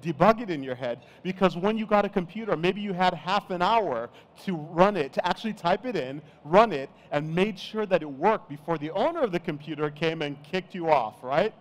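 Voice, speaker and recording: A middle-aged man from Nigeria giving a relaxed, chatty conference talk on stage. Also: sits high, moderate pace, few pauses. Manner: relaxed, chatty, self-deprecating. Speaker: conference speaker.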